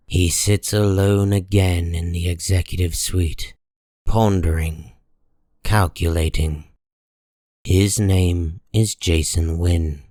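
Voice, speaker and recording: A man reading dramatic comic-book narration in a grave, measured and deliberate manner. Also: low voice, darkish tone, dry recording, clean studio sound.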